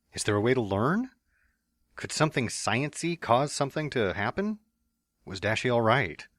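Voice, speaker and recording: A man narrating a story in the first person as a dramatic reading, in a steady, earnest storytelling manner. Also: deep voice, dry recording, studio quality. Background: soft background music.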